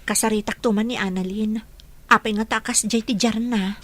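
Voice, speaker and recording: A woman's voice: mid-range voice, steady tone, moderate pace, fairly bright tone, lightly acted. A woman with an Ilocano accent playing a gossipy, sympathetic young village friend in a radio drama, confiding and insistent.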